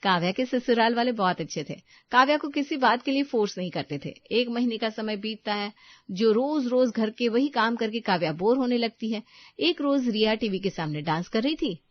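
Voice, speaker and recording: Higher-voiced woman narrating a story. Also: lively intonation, normal speed, no echo, highs cut off. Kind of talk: scripted bedtime story narration.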